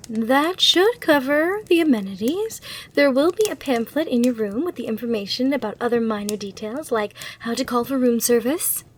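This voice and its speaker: A woman playing a gracious, eager demon hotel receptionist in an ASMR roleplay, with polite, reassuring customer-service patter. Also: high voice.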